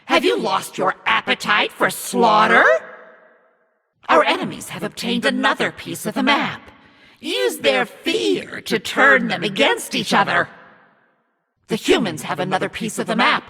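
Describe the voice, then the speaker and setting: A man voicing a menacing, scornful demonic narrator in a video game, commanding and mocking in a theatrical, raspy delivery. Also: extremely high pitch, sing-song, measured pace, pushed and bright, exaggerated voice. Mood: scornful, threatening. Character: demonic evil narrator, menacing, scornful, imperious servant of darkness. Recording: long reverb over music.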